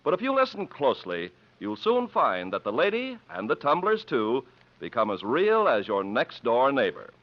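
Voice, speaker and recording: Dramatic, highly animated male radio announcer. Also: normal speed. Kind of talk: 1950s scripted radio introduction. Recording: dull 1950s radio recording.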